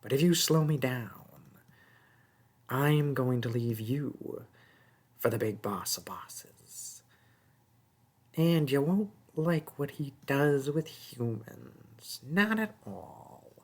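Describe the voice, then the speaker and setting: A performer voicing a small, sneaky, mocking goblin thief in a fantasy audio roleplay, taunting and haggling in a husky, breathy voice that often drops to a whisper. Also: medium pitch, lively intonation, exaggerated voice. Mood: condescending, threatening. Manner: taunting, haggling, drawn-out wheedling.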